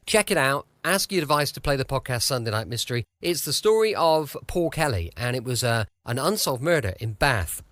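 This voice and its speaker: English bloke doing a chatty podcast intro, voice goes up and down loads, close dry sound. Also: male voice, mid-range voice, sing-song, fairly quick, hardly any pauses, a bit bright, no echo. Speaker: podcast host.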